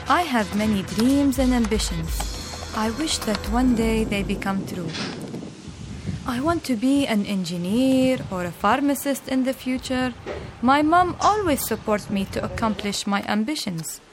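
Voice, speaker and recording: Hopeful teenage girl reading her diary. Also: on the high side. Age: teens. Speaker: student blogger. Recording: with background music.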